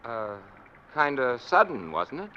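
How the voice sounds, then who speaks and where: A man's voice: medium pitch, big pitch swings, unhurried, sharp tone. An actor playing a breezy, friendly young policeman in an old radio suspense drama. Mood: friendly, casual. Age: young adult. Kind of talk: 1940s radio suspense acting. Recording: muffled, noisy vintage radio broadcast.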